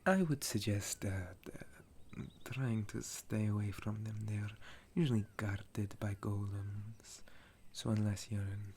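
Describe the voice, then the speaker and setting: A man voicing a gentle, centuries-old sun elf and retired soldier in an intimate ASMR roleplay, soft and breathy, often slipping into a whisper, with a hesitant storytelling manner. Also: fairly low pitch, fairly even intonation, slight background noise. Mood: calm, advising. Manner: slow, hesitant, softly chuckling storyteller.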